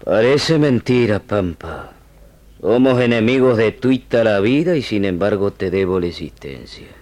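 An actor playing a wounded, frank gaucho sergeant from a frontier fort in a radio drama, with a rural Argentine accent. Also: male voice, on the low side, expressive, measured pace, darkish tone. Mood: weary, grateful. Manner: rustic, plain-spoken. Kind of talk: old radio drama acting.

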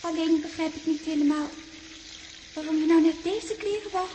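Chirpy, bossy young girl, piping high, playful teasing, faintly noisy sixties radio play. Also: moderate pace. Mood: brisk, bossy. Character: childlike, teasing, bossy young girl Louise who never ages. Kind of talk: 1960s radio drama acting. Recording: old 1960s radio, steady hiss.

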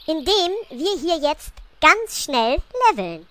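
A woman's voice: high-pitched, very animated, slow pace, pushed and bright, exaggerated voice. A woman playing a haughty, bossy queen bent on world domination in a parody audio drama. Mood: scheming.

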